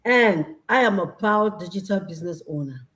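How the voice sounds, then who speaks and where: A woman's voice: on the low side, animated, normal speed, a bit bright. A woman from Nigeria giving a breezy self-introduction as a panelist on an online livestream. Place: remote online livestream call.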